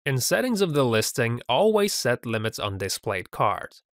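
A man presenting a scripted software tutorial voice-over, upbeat and giving step-by-step instructions. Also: mid-range voice, big pitch swings, normal speed, no echo, studio quality.

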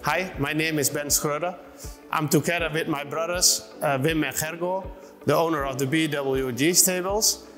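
A Dutch-accented man with a fairly high voice speaks haltingly in a reverberant hall. He is a trainer being interviewed for a documentary, with some music behind him. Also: fairly even intonation, fairly bright tone. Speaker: riding trainer and stable owner. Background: background music.

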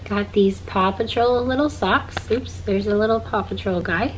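A woman in her thirties with a hoarse voice, chatting casually to camera about her kids' stocking gifts in a log-home bedroom. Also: medium pitch, moderate pace, slight background noise, rough recording. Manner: chatty, upbeat, showing items. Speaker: vlogger.

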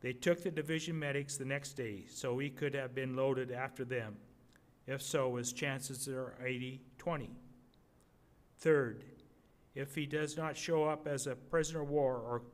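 An older man reading aloud at a podium as an earnest, steady soldier writing letters home in wartime. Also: mid-range voice, natural voice. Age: 60s or older. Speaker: readers theater reader. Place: indoor museum gallery at a podium. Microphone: podium microphone.

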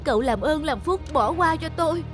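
A woman's voice: sits high, lively intonation, fairly quick. Poor middle-aged female scrap collector, humble, apologetic, pleading, cartoon voice, music underneath. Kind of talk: cartoon voice acting.

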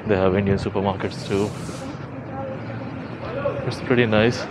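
A man's voice: on the low side, steady tone, a little slow. A young man giving casual, chatty walking-tour vlog commentary outdoors, thinking aloud as he goes. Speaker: vlogger. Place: outdoors on city streets while walking. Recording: noisy city street, traffic ambience.

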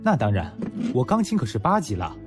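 A man's voice: mid-range voice, wildly swooping pitch, brisk. An actor playing a young male student in an audio drama, narrating reflectively as well as speaking in dialogue. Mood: boastful teasing. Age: young adult. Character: young male student, nostalgic, proud, teasing. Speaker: lead actor and first-person narrator. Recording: music behind the voice.

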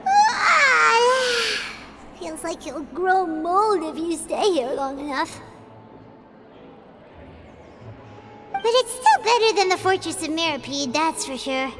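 Tiny game fairy companion, extremely high childlike voice, animated intonation, curious, cheerful, expressive. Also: female voice, unhurried, music in the background, full character voice. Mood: grumbling. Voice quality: squeaky, childlike. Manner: refers to herself in third person, expressive. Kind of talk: video game voice acting.

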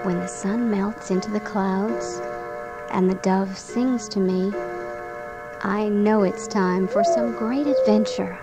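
A woman narrating a guided bedtime relaxation for children in a gentle, soothing, unhurried manner. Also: mid-range voice, loud music behind the voice. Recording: clearly noisy recording with background ambience.

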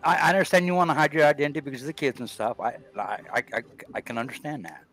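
A man's voice: fairly high pitch, rapid-fire, fairly bright tone, faint music behind. A man talking bluntly on a video call, repeating words as he starts his sentences. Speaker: caller on a panel. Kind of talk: casual chat on a video call.

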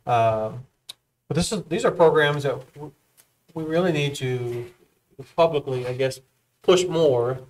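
A man making informal, halting remarks as a board member, often repeating words. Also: fairly high pitch, darkish tone. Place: school board meeting room. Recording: faint room noise.

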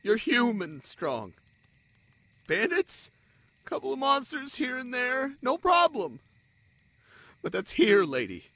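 A man playing an anguished, self-sacrificing young spider-folk lover in a romantic audio drama, halting and pleading in manner. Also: very high voice, huge pitch swings, sharp tone, no room sound, heavily acted. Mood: agitated, heated.

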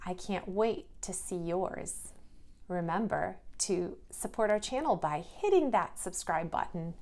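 A woman's voice: on the low side, animated, a little slow, a little mellow. A woman preschool teacher giving a read-aloud lesson for young children, with careful, simple, questioning delivery.

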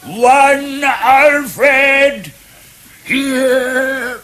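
An actor with a British accent playing a grumbling comic interloper in a 1970s radio drama. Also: male voice, extremely high pitch, steady tone, drawn-out words, a bit bright, faint music behind, full character voice. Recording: old radio broadcast, crowd noise.

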